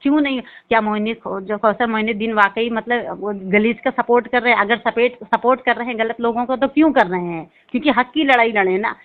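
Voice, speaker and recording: A woman's voice: fairly high pitch, brisk, few pauses. Pleading woman on a tinny phone line. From Uttar Pradesh, India. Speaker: caller seeking help. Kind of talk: phone call conversation.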